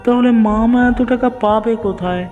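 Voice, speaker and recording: A man's voice: high-pitched, moderate pace, close to an everyday voice. A man voicing a meek, anxious, poor young villager who pleads with those above him in a Bengali cartoon. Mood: frightened pleading. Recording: dull lo-fi sound, music underneath.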